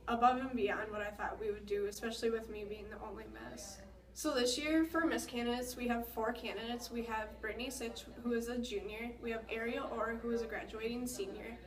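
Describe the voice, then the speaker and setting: A woman's voice: fairly high pitch, reverberant, recorded from a distance. A teenage girl, a local ambassador, chatting through events in an interview, with frequent fillers. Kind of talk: casual interview.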